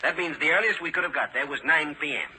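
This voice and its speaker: High-voiced excitable old police inspector. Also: male voice, fast. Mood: baffled, insistent. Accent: American. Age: older. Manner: barking, emphatic. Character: excitable, gruff older male police inspector. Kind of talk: 1940s radio drama acting. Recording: noisy 1940s radio recording.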